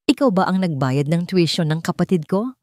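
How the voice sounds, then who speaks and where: A woman's voice: on the low side, lively intonation, fairly quick, a little mellow, no room sound, lightly acted. A woman with a Filipino accent voicing an earnest, caring older sister with a direct, questioning manner in an audio story. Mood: serious, insistent.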